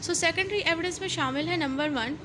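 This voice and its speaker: High-pitched Pakistani woman lecturing over noise. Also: a little fast. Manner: didactic, repeats and rephrases points step by step. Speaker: law lecturer. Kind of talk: explanatory lecture mixing in English legal terms.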